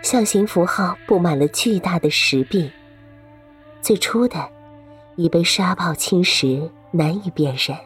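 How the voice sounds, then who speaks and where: A woman's voice: lowish voice, a little slow, faint music behind. A woman reading scripted story narration for an audio drama.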